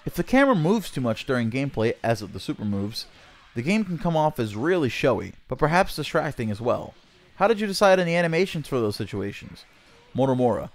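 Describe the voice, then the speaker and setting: Guy reading scripted gaming news for a channel, average pitched voice, narrating an interview. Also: very animated, fairly quick.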